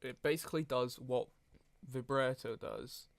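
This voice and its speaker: A man hosts a casual software walkthrough in a middle-pitched voice. He talks informally and a bit hesitantly, thinking aloud as he goes. The sound is close and dry, with almost no room on it. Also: fairly even intonation, a little slow, no room sound. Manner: frequent throat clearing. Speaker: tutorial host. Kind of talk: casual software tutorial narration.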